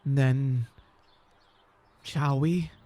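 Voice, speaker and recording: An actor playing a stern, accusatory seventeenth-century sheriff in an audio drama, speaking stiffly and formally. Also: male voice, medium pitch, fairly even intonation, warm tone. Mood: awkward, formal. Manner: stiff, formal, halting, deliberate. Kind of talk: period audio drama acting.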